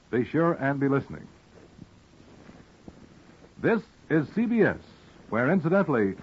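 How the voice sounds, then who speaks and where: An American man announcing from a script in formal, measured 1950s radio style. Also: fairly high pitch. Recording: dull, noisy vintage radio broadcast recording.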